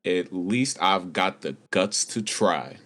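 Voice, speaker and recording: A man's voice: low-pitched, lively intonation, takes it slowly, fairly bright tone, heavily acted. An actor playing an earnest, plucky young male understudy in a radio play. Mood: defiant.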